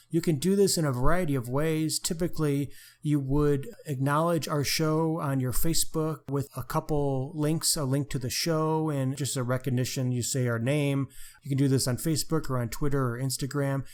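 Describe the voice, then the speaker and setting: A man's voice: a little high, fairly even intonation, moderate pace. A man co-hosting a podcast, talking casually about crime books. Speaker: podcast co-host.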